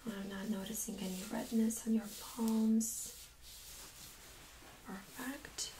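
Woman, ASMR medical role-play, breathy whisper, gentle unhurried instructions, slight background noise. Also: on the high side, fairly even intonation, poor audio. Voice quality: soft, breathy, mostly whispered. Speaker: ASMR host role-playing a medical examiner. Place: small room indoors.

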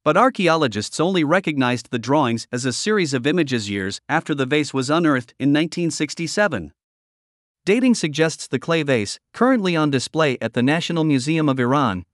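A man reading a scripted documentary narration in an even, measured way. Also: on the high side, no room sound, studio quality.

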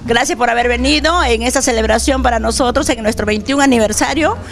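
A woman's voice: a little high, fairly quick. A middle-aged woman, the school principal, answering a reporter cordially and proudly. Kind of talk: interview answers.

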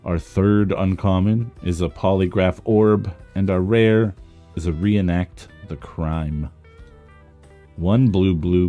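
A man with a slightly rough voice, worn from talking, giving casual commentary as he opens trading cards. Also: deep voice, mellow. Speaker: trading card content creator. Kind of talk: casual card pack opening commentary.

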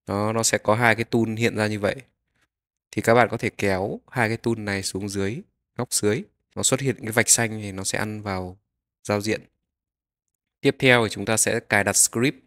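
A man narrating a step-by-step software tutorial, explaining each click as he goes. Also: fairly low pitch, fairly even intonation, normal speed.

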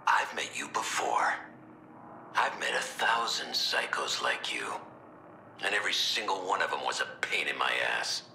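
Very deep gravelly soldier. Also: male voice, very animated, moderate pace, bright, forceful tone, echoey room, a little hiss or hum, heavily acted, rough recording. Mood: menacing. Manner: menacing, scornful, clipped. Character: gruff, tough, hostile male military officer. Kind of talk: dramatic video game voice acting.

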